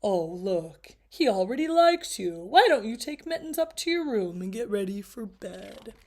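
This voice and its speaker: A woman reading aloud, voicing a calm, gentle dinosaur dad in a soft, lower, coaxing way. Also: moderate pace.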